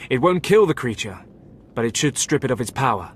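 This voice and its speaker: A calm, regretful academy keeper, measured and somber in mid pitch. A faint low rumble sits under his game dialogue. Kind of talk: video game voice acting.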